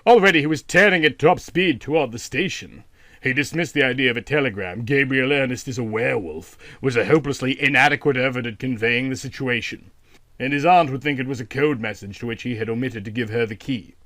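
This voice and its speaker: A man narrates a literary short story in an audiobook style. His voice sits at a medium pitch, recorded very dry with no room around it. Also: quick pace, a bit bright, no echo.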